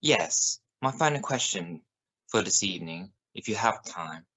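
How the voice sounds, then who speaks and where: Measured, serious radio news anchor, played by a man. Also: fairly low pitch, lively intonation, pushed and bright, breathy edge, exaggerated voice. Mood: sombre, respectful. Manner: formal, measured, probing. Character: serious, probing male evening news reporter of the future. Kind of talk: scripted radio news broadcast in a drama.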